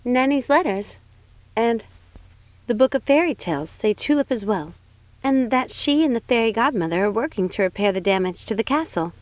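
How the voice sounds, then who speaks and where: A woman voicing a gentle, sweet young woman in an audiobook, speaking in an earnest, reassuring manner. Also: a little high, expressive, moderate pace, no room sound, pitched above the performer's own voice, natural voice. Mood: playful. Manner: soft-hearted, earnest, reassuring. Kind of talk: audiobook character voice.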